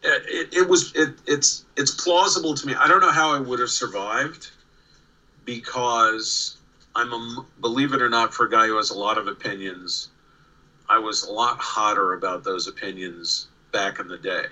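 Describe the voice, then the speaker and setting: A man's voice: medium pitch, normal speed, bright, forceful tone, rough recording. A man in his sixties from New York with a faint New York accent, telling stories as an interview guest over a video call, with frequent restarts and repeated words. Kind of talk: casual storytelling. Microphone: remote video call.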